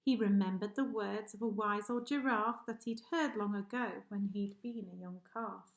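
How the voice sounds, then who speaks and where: A woman's voice: mid-range voice, steady tone, moderate pace, gravelly. A woman reading a rhyming children's story aloud expressively, like a teacher addressing pupils. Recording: echoey room.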